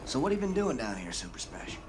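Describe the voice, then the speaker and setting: An actor playing a gruff, tough resistance soldier with a Southern American accent in a video game, terse and folksy. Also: male voice, lowish voice, wildly swooping pitch, fast talker, noisy background. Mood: laconic, curious. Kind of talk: video game cutscene acting.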